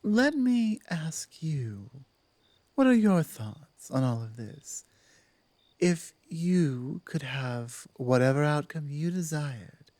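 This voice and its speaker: A woman voicing a dominant but softening werewolf pack leader in an intimate ASMR roleplay, speaking in measured, deliberate phrases with weighty pauses. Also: low voice, no echo, exaggerated voice. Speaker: audio roleplay performer.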